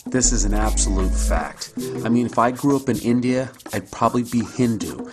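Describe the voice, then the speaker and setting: A man's voice: medium pitch, moderate pace, over music. An American man from California giving a scripted, persuasive monologue, speaking directly and rhetorically to the viewer. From San Jose, California, United States. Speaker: video blogger.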